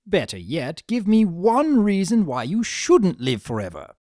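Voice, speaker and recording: A woman's voice: fairly low pitch, wildly swooping pitch, a little slow, dark tone, dry recording, studio quality, pitched above the performer's own voice. Woman voicing a sly, theatrical male chimera trickster. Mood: exasperated, sardonic. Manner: theatrical, drawling, mock-innocent.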